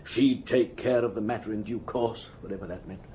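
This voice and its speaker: An actor playing a fussy, discreet head clerk, formal and nervously deferential, with hesitant, precise phrasing in a radio drama. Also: male voice, fairly low pitch, fairly quick, mellow, a little hiss or hum, lightly acted. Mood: guarded, hesitant.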